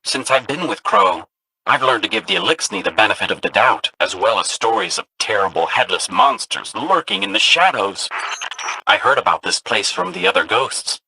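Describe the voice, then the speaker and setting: A man's voice: medium pitch, very animated, normal speed, gravelly, pushed and bright, husky, heavily acted, rough recording. An actor voicing an eager, chatty male ghost companion robot in a video game, a curious storyteller of spooky legends. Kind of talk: game voice lines.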